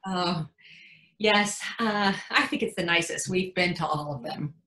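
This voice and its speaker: A woman's voice: lowish voice, a little slow. A woman acting a wry, blunt, seasoned mother of a bride in a readers theatre play, with knowing, emphatic delivery. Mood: polite, chatty. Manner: emphatic, knowing, deadpan punchlines. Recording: echoey room.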